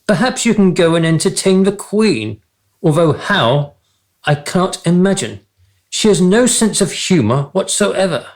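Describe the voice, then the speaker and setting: A man's voice: high-pitched, very animated, a little slow. A man playing a vain, dim, easily amused king with an imperious manner in a comic radio play. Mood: pompous.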